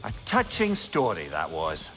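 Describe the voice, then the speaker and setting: An actor playing a witty, teasing older sage in a video game, with a dry, wry delivery. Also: male voice, sits low, huge pitch swings, unhurried, over music, exaggerated voice. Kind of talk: video game character acting.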